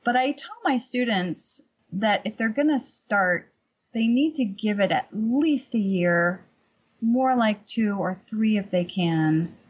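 A middle-aged woman chatting casually as an interview guest and painting teacher, telling anecdotes in an animated, emphatic way. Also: mid-range voice, takes it slowly, breathy edge, lo-fi sound.

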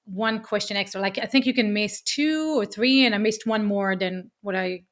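A woman with an Israeli accent, a podcast guest talking business in a lively, emphatic way, often tagging lines with "right?". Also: medium pitch, moderate pace. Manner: energetic, emphatic, keeps asking "right?", swears casually. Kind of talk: casual interview chat.

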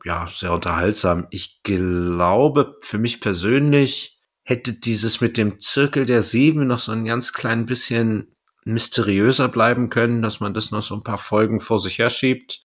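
A man with a slightly low voice speaks as a podcast co-host. His delivery is casual and conversational, like someone reviewing something with a friend. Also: unhurried. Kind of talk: casual chat reviewing an audio drama.

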